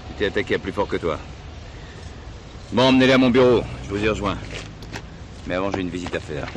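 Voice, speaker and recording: An actor playing a gruff, authoritative police commissioner in a French radio play, brisk and commanding. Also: male voice, sits low, expressive. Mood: urgent, commanding. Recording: noisy archive drama recording, vehicle ambience.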